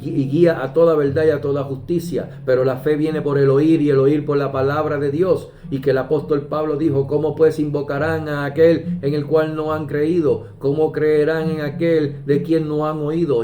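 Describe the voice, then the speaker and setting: A man preaching a sermon, measured and emphatic, pausing often and quoting scripture. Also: fairly high pitch, flat delivery. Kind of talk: slow deliberate sermon. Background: soft guitar music.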